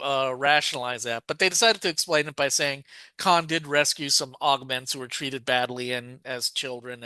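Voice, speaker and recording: A man's voice: mid-range voice, bright, forceful tone, clean tone, dry recording, poor audio. A man co-hosting a podcast, chatting casually and rambling with lots of fillers and self-corrections. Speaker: podcast co-host. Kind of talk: casual chat about a TV show.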